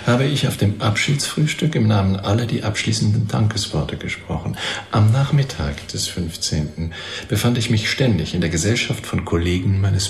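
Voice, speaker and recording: Arrogant gravelly middle-aged doctor. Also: male voice, on the low side. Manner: formal, precise, unhurried recounting. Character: arrogant, oily middle-aged doctor in custody, coolly self-assured. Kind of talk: German radio drama acting. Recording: roomy, echoing interior sound.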